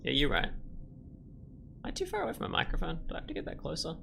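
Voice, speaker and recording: A man's voice: on the high side, huge pitch swings, fast talker, creaky. A man giving casual let's play commentary, thinking aloud in a rambling, musing way. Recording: faint game music behind.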